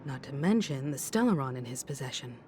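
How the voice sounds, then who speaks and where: A low-pitched, grave woman speaks as a cautious video game character. Her manner is formal and solemn, with faint noise in the background. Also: expressive, fairly quick, a little mellow. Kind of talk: scripted video game dialogue.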